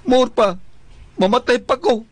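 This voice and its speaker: A man's voice: very high voice, measured pace, heavy background noise. A man playing a devoted, stubborn older widower in a comic Bisaya radio drama, pleading plaintively and repeating himself. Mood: lovelorn longing. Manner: plaintive, insistent, repetitive.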